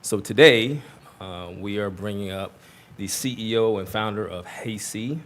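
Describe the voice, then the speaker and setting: A man's voice: lowish voice, unhurried. Upbeat man making board meeting announcements. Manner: upbeat, chatty, many fillers. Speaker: district official at board meeting. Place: meeting hall.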